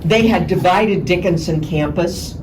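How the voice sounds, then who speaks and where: A woman who works as a poll worker, asking questions from the audience in a chatty way and pausing to find her words. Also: on the low side, darkish tone. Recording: distant mic with noise.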